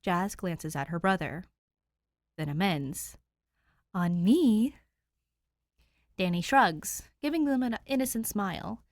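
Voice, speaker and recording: A woman reading fan fiction aloud as a podfic narrator, in an even storytelling manner on a close home mic. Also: mid-range voice, a little slow, no room sound. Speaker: podfic reader.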